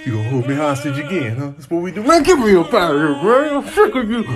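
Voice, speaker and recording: A man's voice: on the high side, big pitch swings, quick pace, over music, pitched above the performer's own voice. A man voicing a jumpy, complaining animal in a comic dub, slangy and exasperated.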